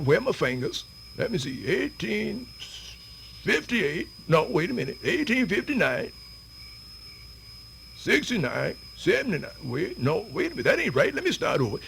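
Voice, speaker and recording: A man's voice: high-pitched, gravelly, a bit bright, a little hiss or hum, exaggerated voice. A man playing a spirited, very old American folk storyteller born a slave, dramatic and folksy in a radio theatre monologue. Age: older. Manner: dramatic drawn-out delivery, folksy hesitations and drawl.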